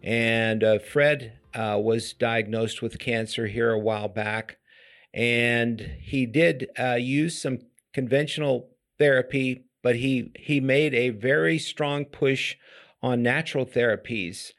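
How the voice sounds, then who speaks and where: A man hosting a health podcast as a doctor, speaking slowly and hesitantly with many fillers. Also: medium pitch, fairly even intonation, fairly bright tone. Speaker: podcast host, physician. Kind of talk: interview hosting.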